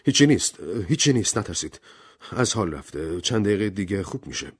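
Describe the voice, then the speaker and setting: A man voices a nervous doctor in an audio story. He reassures those around him in a shaky, lively male voice that swings in pitch. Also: breathy edge, no room sound, clean studio sound.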